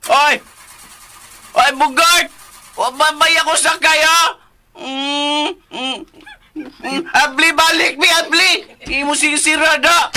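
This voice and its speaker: A man playing a childlike, excitable simple-minded man in a Cebuano Filipino radio drama, stammering and repeating himself. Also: extremely high pitch, slow, long pauses, heavily acted. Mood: whiny, urgent. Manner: stammering, repetitive babble.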